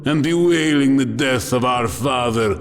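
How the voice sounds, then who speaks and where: An actor playing a cruel, mocking space marine who taunts with a sneer in a dramatic audio story. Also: male voice, medium pitch, a little slow, slight background noise, natural voice. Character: cruel, mocking, sadistic male Night Lord space marine. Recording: long cavernous reverb, music underneath.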